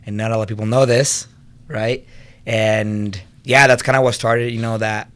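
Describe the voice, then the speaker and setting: A man's voice: on the low side, fairly even intonation, moderate pace, fairly bright tone. A man in his thirties from Venezuela, a podcast guest telling his own story in a casual, conversational way, often saying "you know". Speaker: podcast guest, fitness business owner and trainer.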